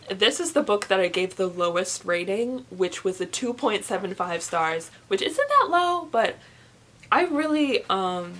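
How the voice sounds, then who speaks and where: A young woman speaks in a chatty, informal way, as a book vlogger would. Her pitch is average for a woman, and she leans on words for emphasis as she gives her opinions. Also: sing-song, measured pace, a bit bright. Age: young adult. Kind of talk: casual book review chat to camera.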